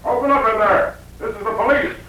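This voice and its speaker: A man's voice: high voice, brisk, bright, forceful tone, slight background noise. An actor playing an urgent, commanding policeman barking orders in a 1940s radio drama. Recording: echoey vintage 1940s radio recording.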